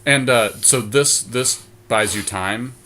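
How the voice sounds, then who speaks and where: A man's voice: medium pitch, slow, pushed and bright. A man co-hosting a casual card game podcast, thinking his choices through aloud in a conversational way. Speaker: podcast co-host.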